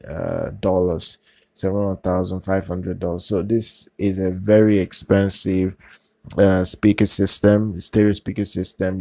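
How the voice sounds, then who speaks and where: A man hosting his own channel, giving casual product news in a halting way, with many fillers, restarts and repeated phrases. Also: sits low, warm tone, no echo. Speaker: channel host.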